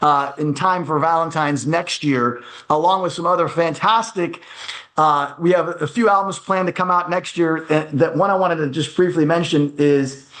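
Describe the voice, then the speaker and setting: Higher-pitched American man, thinking aloud. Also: moderate pace, a bit bright. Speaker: guest, music producer. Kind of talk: conversational interview answers.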